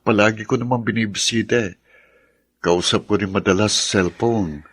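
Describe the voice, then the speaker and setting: A man's voice: fairly low pitch, steady tone, moderate pace, a bit bright, slightly rough, no echo, clean studio sound. A man playing a dutiful, respectful grown son in a radio drama, polite and understated. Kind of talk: Filipino radio drama acting.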